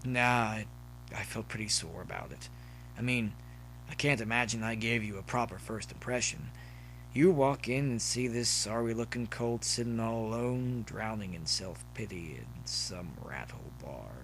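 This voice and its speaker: A man's voice: fairly low pitch, normal speed, a bit bright, a little hiss or hum, low-quality recording. A man voicing a self-deprecating male farm pony student in an audiobook, hesitant and folksy. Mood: sheepish, apologetic.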